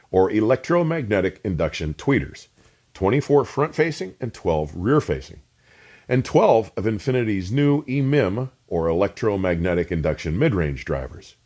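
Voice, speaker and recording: A man reading scripted, documentary-like narration as a host, measured and emphatic, with precisely pronounced technical terms. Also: on the low side, darkish tone. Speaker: host and narrator of an audio review channel.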